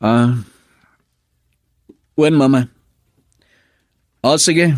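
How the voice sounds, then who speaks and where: A man's voice: mid-range voice, extremely slow, no echo, studio quality. A man playing a troubled, dutiful husband, brooding and earnest, in a Filipino radio drama in Ilocano. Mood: polite, eager. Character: troubled husband, dutiful son-in-law running the family business.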